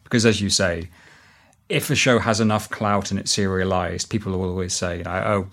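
A man's voice: low-pitched, steady tone, brisk, a bit bright. A middle-aged man who writes and designs sound, chatting casually as an interview guest.

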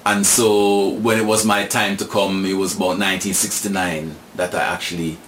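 A man's voice: low voice, flat delivery, normal speed, fairly bright tone, a little hiss or hum. A man in his sixties from Jamaica with a Jamaican accent, telling stories thoughtfully and repeating words as he searches for them. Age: 60s. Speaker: guest. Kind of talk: reflective storytelling. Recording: echoey room with faint ambience.

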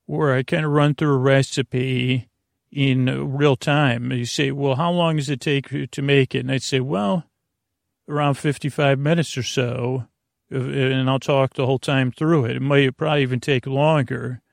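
A man with a heavily creaky, gravelly voice of medium pitch hosts a bedtime podcast. He wanders off on whimsical tangents, interrupting and doubting himself with self-deprecating humour. The recording is close and dry, with very little room sound. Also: steady tone, unhurried, dry recording. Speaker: podcast host. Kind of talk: rambling bedtime sleep podcast monologue.

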